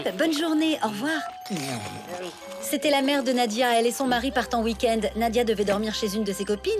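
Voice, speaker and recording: A woman's voice: a little high, close to an everyday voice. A woman playing a polite, obliging mother with a reassuring manner in a French cartoon. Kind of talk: French animated TV comedy.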